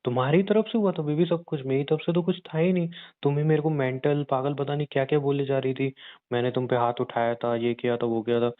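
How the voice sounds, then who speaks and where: Young husband, played by a male actor, coaxing, insistent and sulky, mid-pitched voice, tinny phone-quality audio. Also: steady tone, fairly quick, natural voice. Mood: hurt, sulky. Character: pleading, sulky young husband wooing his wife. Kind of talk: staged intimate phone-call style conversation. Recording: thin, phone-like narrowband audio.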